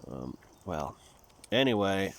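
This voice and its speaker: A man's voice: low-pitched, big pitch swings, slow, creaky, fairly bright tone, a little hiss or hum. A man from Finland who speaks English with a Southern US-tinged accent, rambling casually and in a folksy, profane way in a vlog. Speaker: vlogger.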